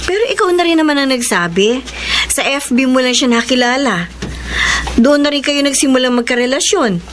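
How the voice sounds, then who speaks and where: A woman's voice: high voice, animated, normal speed. A woman playing a dutiful married elder sister, preachy and reassuring, in a Filipino drama. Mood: concerned, advising. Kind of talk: Filipino audio drama acting. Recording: faintly noisy amateur recording.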